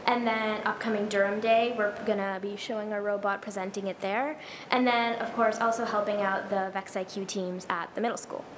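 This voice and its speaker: A teenage girl, her team's software lead, presenting to a school board in an upbeat way, listing points with some fillers. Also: medium pitch, steady tone, moderate pace. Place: school library meeting room, indoors. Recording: echoey room with clear background noise.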